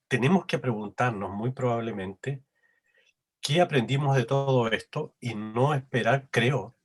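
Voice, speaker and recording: A man moderating a panel over a video call, speaking hesitantly with many fillers and tag questions. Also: mid-range voice.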